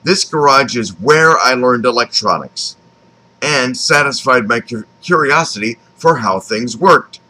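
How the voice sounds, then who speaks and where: An American man in his fifties narrates his own life story from a script. His voice is bright and forward, with lively rises and falls in pitch. He phrases deliberately and pauses often. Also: low-quality recording. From Texas, United States. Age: 50s. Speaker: author reading his own memoir. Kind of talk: scripted autobiographical narration, read aloud.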